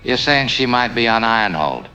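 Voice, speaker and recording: A man's voice: mid-range voice, lively intonation, moderate pace, pushed and bright, clear, resonant tone, faint music behind, rough recording. An actor playing a determined man set on rescuing his sister in an audio drama, terse, intense and resolute. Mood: anxious, determined.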